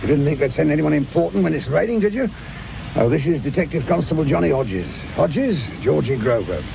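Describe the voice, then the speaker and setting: An actor playing a wry, middle-aged English police sergeant with a gravelly voice, grumbling and dry-humoured in a radio drama. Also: male voice, on the high side, animated, fairly quick, noisy background. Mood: sardonic.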